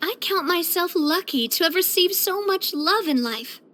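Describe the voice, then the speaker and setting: A woman's voice: extremely high pitch, moderate pace, exaggerated voice. An actress playing a spirited, proud and affectionate young woman boss in a video game, with a theatrical, bubbly delivery. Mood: sincere, warm. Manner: theatrical, expressive, bubbly, with playful grandiosity. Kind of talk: scripted video game character voice lines.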